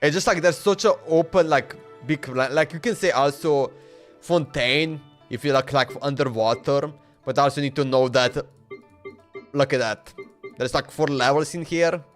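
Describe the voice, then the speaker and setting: A man's voice: on the high side, normal speed, soft background music. A man streaming a game, chatting loosely and laughing, often saying "bro" and "man.". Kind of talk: casual livestream chat while playing.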